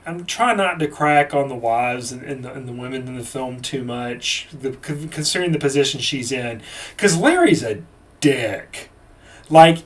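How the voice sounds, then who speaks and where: A man's voice: medium pitch, distant mic. A man giving a casual, rambling movie review with a sarcastic edge and lots of fillers and restarts.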